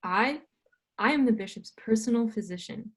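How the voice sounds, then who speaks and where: A woman reading the part of a cautious older male physician and astronomer, hesitant and gently apologetic, in an amateur readers theatre. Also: on the high side, animated, moderate pace. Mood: apologetic, reluctant.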